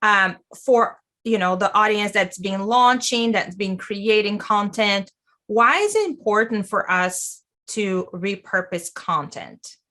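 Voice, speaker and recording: Bright-voiced enthusiastic woman host. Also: mid-range voice, animated, slow. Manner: warm enthusiastic, loose grammar with restarts. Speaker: podcast host. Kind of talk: casual interview.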